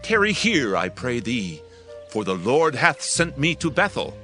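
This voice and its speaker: A man playing a grave master prophet in a dramatized Bible, speaking with solemn, measured pleading. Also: medium pitch, sing-song, pushed and bright, faint music behind. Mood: gently urging.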